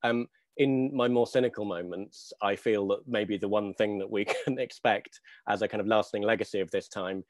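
A man in his thirties speaking on a video-call panel, thinking aloud in a hesitant, halting way with searching pauses. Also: fairly low pitch, fairly even intonation, no echo. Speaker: theatre maker, panelist. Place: small dim room at home, video call. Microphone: wired earphone mic on video call.

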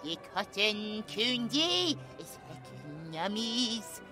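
A man's voice: high voice, very animated, takes it slowly, over music, exaggerated voice. A British man voicing a childlike, clingy possessed demon who talks about himself in the third person with babyish words. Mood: excited.

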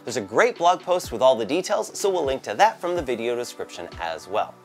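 Man, 30s, tech news host, animated intonation, wry asides, faint music behind. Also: mid-range voice, a little fast. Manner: lively, lightly joking delivery. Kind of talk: scripted tech news presenting. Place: bright home office studio.

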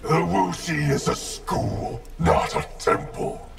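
Gravelly, imperious shadow ninja warlord. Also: male voice, a little high, big pitch swings, slow pace, exaggerated voice. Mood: haughty. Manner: cold, imperious, clipped declarations. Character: menacing, arrogant undead shadow ninja warlord, perfected by chaos. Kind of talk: video game character dialogue. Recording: loud music under the voice. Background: loud game music.

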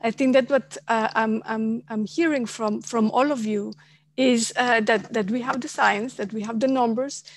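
A woman in her forties moderating an online panel from a home office, speaking in halting phrases with many fillers and repeated words. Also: fairly high pitch, fairly even intonation. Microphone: headset on a video call.

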